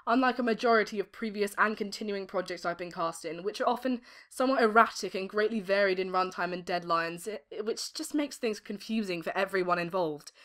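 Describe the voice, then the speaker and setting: British girl late teens, medium pitch, answering interview questions in neat wordy sentences. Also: brisk, few pauses, a bit bright. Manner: articulate, formal wordy phrasing. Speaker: voice actor interviewee.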